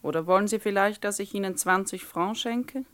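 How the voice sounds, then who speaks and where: An actress playing a brusque, haughty middle-aged shop boss in a 1960s radio play, curt and condescending. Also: female voice, medium pitch, a little fast, fairly bright tone, close to an everyday voice. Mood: curt, dismissive.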